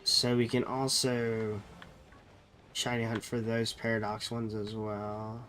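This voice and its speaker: Slow-talking man with a nasal cold. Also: fairly low pitch, little pitch movement, a bit bright, slight background noise. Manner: rambling, self-correcting, chatty with viewers. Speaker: game streamer. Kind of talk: casual live-stream chat.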